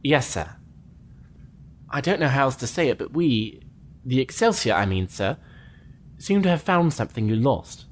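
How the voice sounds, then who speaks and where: A man playing a starship lieutenant, earnest, polite and measured. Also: mid-range voice, sing-song, a little hiss or hum. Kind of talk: fan audio drama acting.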